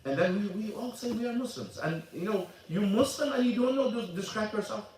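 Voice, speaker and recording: A man's voice: high voice, animated, fairly quick, darkish tone, slight background noise. A man whose first language is Arabic, teaching a mosque class in English with a loose, repetitive manner and questions to the audience. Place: mosque hall. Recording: echoey hall, voice from far off.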